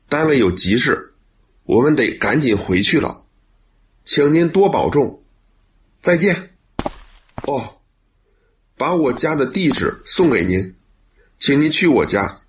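A man's voice: mid-range voice, big pitch swings, slow pace, long pauses, slight background noise. A man acting a sincere, upright young policeman in a radio drama, speaking earnestly. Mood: polite farewell.

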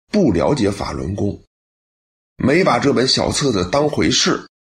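An actor playing a sincere, courteous young policeman in a radio drama, speaking with gratitude. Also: medium pitch. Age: young adult. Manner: earnest, courteous.

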